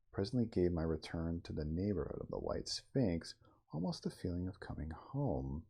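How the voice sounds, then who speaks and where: A man reading literary fiction aloud in a measured, theatrical, formal storytelling manner, in an ASMR style. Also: low voice, creaky, warm tone, slightly rough. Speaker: audiobook-style reader.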